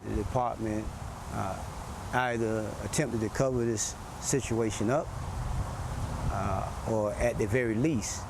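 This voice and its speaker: A man giving a halting, measured interview statement as an association leader. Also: fairly low pitch, steady tone. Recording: outdoor interview with traffic noise.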